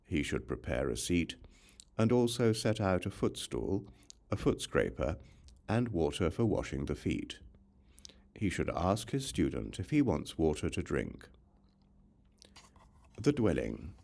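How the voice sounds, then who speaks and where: A man reading a religious text as an audiobook narrator, in measured, instructional short phrases. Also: sits low, sing-song, gravelly, a little mellow.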